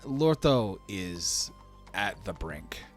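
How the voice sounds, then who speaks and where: A man's voice: sits low, sing-song, drawn-out words, faint music behind. A man narrating a tabletop roleplaying game as game master.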